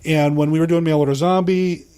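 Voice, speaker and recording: A man with a slightly high voice speaks as a podcast host in a casual, chatty style. The recording is dry, with very little room sound. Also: steady tone, brisk, mellow, clear, resonant tone, no room sound. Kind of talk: solo show intro and casual interview chat.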